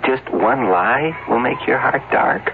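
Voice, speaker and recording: A gentle woman asks a child soft, curious questions. Her voice is very low for a woman and moves lively. It comes through a thin, tinny broadcast with faint noise. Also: measured pace, gravelly, exaggerated voice.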